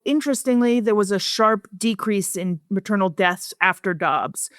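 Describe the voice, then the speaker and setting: A woman with a clean, smooth voice at a middling pitch, recorded dry. She explains things plainly and articulately, like a researcher answering interview questions. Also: moderate pace, a bit bright, no echo. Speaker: expert guest, professor and researcher.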